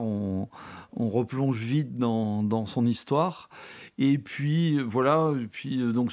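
A man from the Bordeaux area, a winemaker answering interview questions in a thoughtful, halting way with many restarts. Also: mid-range voice, darkish tone. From France, Bordeaux area.

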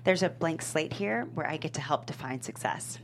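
A woman playing a confident, upbeat young sports management professional at a comedy table read, brisk and wry. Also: deep voice, expressive, a little hiss or hum. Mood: assured, wry.

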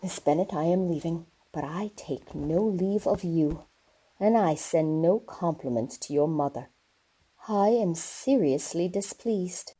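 Radio play role: imperious older lady of rank, female performer, mellow low register, rough husky edge, formal and disdainful. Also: unhurried, poor audio. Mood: indignant, imperious. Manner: haughty, commanding, formal. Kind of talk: radio theater acting.

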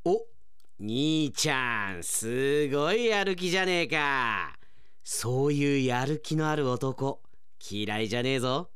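A man acting in a radio drama as a gruff, friendly izakaya owner with rough, colloquial speech and an encouraging, easygoing manner. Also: medium pitch, expressive, slow, fairly bright tone, voice pushed down.

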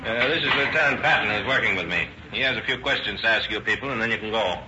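An actor playing a methodical, authoritative police lieutenant asking probing questions in an old radio drama. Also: male voice, fairly low pitch, quick pace, lightly acted. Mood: businesslike. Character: brisk, methodical male police lieutenant detective. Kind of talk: 1930s radio drama acting. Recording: dull, hissy old-time radio recording.

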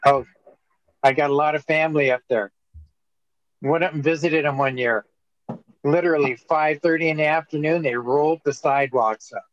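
A man with a fairly high voice, recorded dry and close, chatting casually on a podcast. He jokes and wanders through his stories. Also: normal speed, pauses often, no echo, dull audio. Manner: joking, rambling storyteller. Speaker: podcast co-host. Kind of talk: casual chat.

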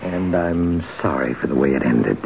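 A low, richly varied male voice gives us an ancient, commanding god of romance. He declaims with solemn, brooding intimacy in old radio-play style, through a muffled recording with clear background noise. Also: lively intonation, normal speed, darkish tone, heavily acted. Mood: brooding, probing. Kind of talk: old-time radio drama acting.

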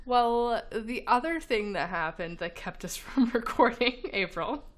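A woman's voice: on the high side, expressive, measured pace. A woman co-hosting a casual podcast chat, laughing often and talking with lots of fillers, a little congested as she recovers from being sick. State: slightly congested, recovering from illness. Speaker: podcast co-host.

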